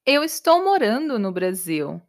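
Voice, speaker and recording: A woman voicing an Irish woman who teaches English abroad, answering slowly and repeating herself carefully for learners. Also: a little high, big pitch swings. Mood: patient. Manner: slow, repetitive, carefully clear answers.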